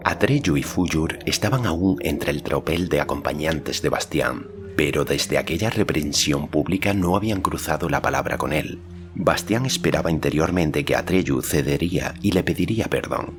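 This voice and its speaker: Male narrator, very low register, measured literary read for an audiobook, slight noise underneath.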